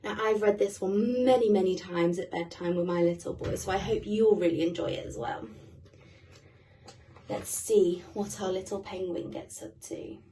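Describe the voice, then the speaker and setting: A woman's voice: medium pitch, moderate pace, dark tone, far from the mic, slight background noise, rough recording. A British woman reading a children's storybook expressively, with asides to young listeners. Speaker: guest reader.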